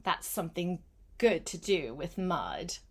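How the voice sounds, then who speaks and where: An older woman reading a picture book aloud to a small child, gentle and coaxing, with chatty asides spoken straight to the child. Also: fairly low pitch, sing-song, husky. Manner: gentle, unhurried, coaxing, addresses the child directly.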